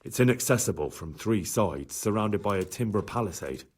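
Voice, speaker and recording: An actor playing an earnest, loyal young squire in a game, plain-spoken and deferential. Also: male voice, lowish voice, expressive, moderate pace, darkish tone, breathy edge. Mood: sober reporting. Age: young adult. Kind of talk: video game cutscene acting.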